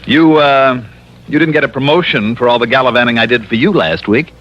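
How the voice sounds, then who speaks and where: A man's voice: mid-range voice, brisk, fairly bright tone, natural voice. An actor with an American accent playing a wry, cocky insurance investigator who narrates his case in an old-time radio drama. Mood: casual, probing. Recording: dull lo-fi vintage radio recording.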